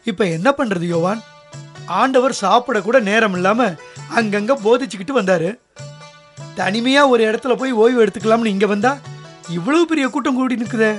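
An actor playing the disciple Peter in a radio drama, speaking in a lively, eager and colloquial way. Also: male voice, extremely high pitch, moderate pace. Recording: radio drama with soft music underneath.

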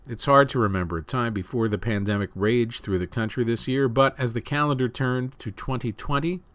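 A man's voice: medium pitch, fairly quick, a bit bright, dry recording. A man reading scripted news stories as the host of a news program.

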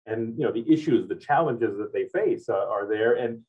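A man's voice: fairly low pitch, moderate pace, slightly rough, far from the mic. A man hosting an interview, asking long, rambling questions that loop back on themselves. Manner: rambling, loops back on his phrasing. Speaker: interviewer host. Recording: tinny phone-like sound, off-mic.

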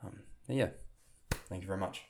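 A man's voice: deep voice, fairly quick, gravelly, darkish tone, a little hiss or hum. A young man with a New Zealand accent, a podcast host and coach talking casually with many fillers and restarts. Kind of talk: casual spoken talk and podcast outro.

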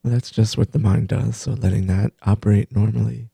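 Very husky, dark-voiced man guiding meditation. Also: fairly low pitch, fairly even intonation, dry recording, studio quality. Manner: gentle, unhurried, pausing between short phrases. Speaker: meditation teacher and host. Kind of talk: guided meditation.

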